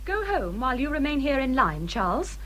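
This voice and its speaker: An English actress playing a self-important young wife in a period radio drama, with a whining, indignant manner. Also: female voice, high-pitched, big pitch swings, fairly quick, fairly bright tone, a little hiss or hum. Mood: affronted.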